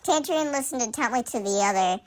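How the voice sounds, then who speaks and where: A woman's voice: fairly high pitch, expressive, fast. A woman reading fan fiction aloud through a voice changer in a casual way, with sarcastic asides and frequent stumbles over the words.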